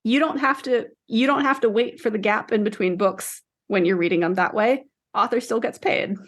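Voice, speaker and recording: A woman's voice: on the high side, moderate pace, fairly bright tone. A woman talking casually as a podcast co-host in a book discussion.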